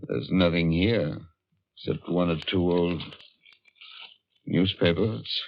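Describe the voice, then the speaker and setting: An actor in a 1940s radio drama playing an elderly, skeptical man who speaks in a measured, formal way. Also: low voice, creaky, a little hiss or hum, heavily acted. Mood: measured, reflective. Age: older. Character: elderly man, skeptical, precise, shaken by the past. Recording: dull, muffled 1940s radio recording.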